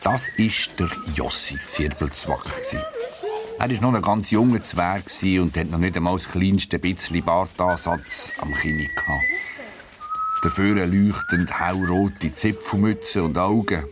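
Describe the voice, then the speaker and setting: A man's voice: sits low, background noise. A man narrating a children's audio story expressively, drawing out key words. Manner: expressive storytelling, drawn-out emphasis.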